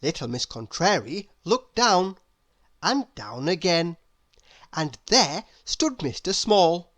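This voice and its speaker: A woman narrating a children's storybook aloud in a deliberate, expressive storytelling manner. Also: fairly low pitch.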